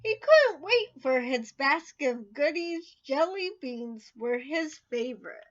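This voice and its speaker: A woman reading a children's picture book aloud in an expressive, sing-song way. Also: high-pitched, slow pace, lo-fi sound. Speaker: storybook reader.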